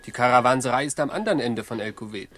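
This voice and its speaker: An actor playing a loyal, sober servant in a radio play, deferential and measured. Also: male voice, medium pitch, a bit bright, faint music behind, close to an everyday voice. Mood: concerned, reassuring. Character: loyal, sober male servant and travel companion. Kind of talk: German radio play acting.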